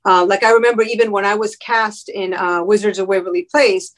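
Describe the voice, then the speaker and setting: A woman's voice: mid-range voice, little pitch movement, fairly quick. A woman from Miami, a guest actress in an interview, explaining her points earnestly. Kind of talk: conversational interview.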